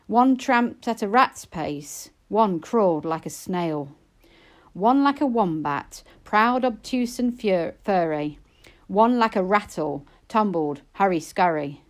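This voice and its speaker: A woman recites narrative verse at a slow pace. Her delivery is expressive and measured, like storytelling, in a mid-range female pitch. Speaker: poetry reader.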